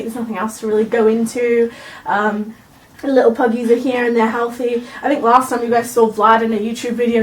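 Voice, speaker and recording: A woman's voice: fairly high pitch, far from the mic, slight background noise, low-quality recording. Chatty young woman, Welsh-Australian accent. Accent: Australian-tinged Welsh. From Wales. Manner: chatty, rambling, with frequent "um"s. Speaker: YouTube vlogger. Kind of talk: casual chat to camera.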